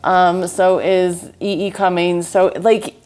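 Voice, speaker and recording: A woman joining a podcast as a guest writer. She talks casually and teases the host in a playful way. Her voice sits fairly low. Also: little pitch movement, slow pace, darkish tone. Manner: playful, teasing banter. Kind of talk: casual chat.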